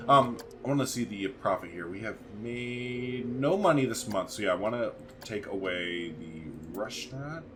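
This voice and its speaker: A man's voice: lowish voice, background noise. A man hosting a gaming video, giving casual, slangy let's play commentary and thinking aloud.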